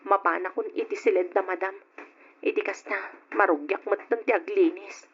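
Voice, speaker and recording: An actress playing a poor young maid in debt, timid and pleading, in an old radio drama. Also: female voice, mid-range voice, steady tone, normal speed, a little hiss or hum, lightly acted. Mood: whispering. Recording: dull old radio recording.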